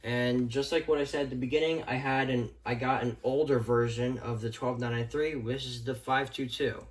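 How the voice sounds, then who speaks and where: Low-key man giving a casual show-and-tell. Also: steady tone, normal speed, low-quality recording. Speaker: vlogger showing a weather radio collection. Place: indoors, rooms at home.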